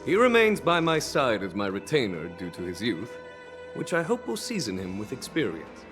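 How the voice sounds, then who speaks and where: An actor playing a calm, courteous male general and mentor who speaks in a measured, diplomatic way, in a game cutscene. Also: medium pitch, over music. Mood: composed.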